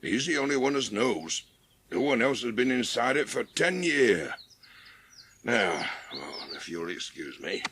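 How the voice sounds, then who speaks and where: Old gardener, Yorkshire accent, grumpy, husky, forceful, very animated pitch, audiobook drama, birdsong. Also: male voice, measured pace, slight background noise. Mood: gruff. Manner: gruff, dialect-heavy. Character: grumpy old male Yorkshire gardener, earthy, grudgingly kind.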